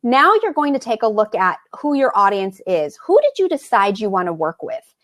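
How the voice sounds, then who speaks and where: A woman business coach hosting a live online Q&A, talking fast and encouragingly while fighting a cold. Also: medium pitch, very animated.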